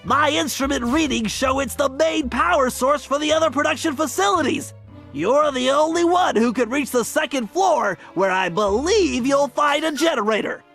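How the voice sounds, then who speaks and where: An actor playing a nerdy, earnest cartoon turtle mastermind in a video game, nasal and anxious, with precise, wordy delivery. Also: male voice, very high voice, lively intonation, normal speed, creaky, a bit bright, faint music behind, exaggerated voice. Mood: earnest, commanding. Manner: precise, wordy, fussy briefing.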